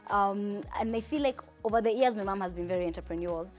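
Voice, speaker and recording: A young Kenyan woman with a Kenyan accent, telling her life story in a chatty, animated interview. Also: mid-range voice, fast talker, soft background music. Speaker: entrepreneur.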